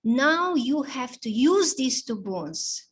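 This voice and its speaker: A woman's voice: high-pitched, big pitch swings, takes it slowly, a little hiss or hum, heavily acted. A woman doing a scheming palace maid. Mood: persuasive.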